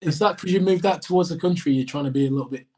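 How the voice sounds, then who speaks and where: A man with a croaky voice from an itchy throat, co-hosting a casual sports podcast in a measured, explaining way. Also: fairly high pitch, a little mellow. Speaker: podcast co-host.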